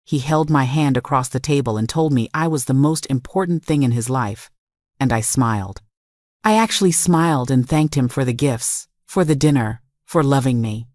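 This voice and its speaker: A woman's voice: extremely low pitch, normal speed, a little mellow, dry recording, clean studio sound. A woman reading a scripted first-person dramatic story in a confessional, emphatic way. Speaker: story narrator.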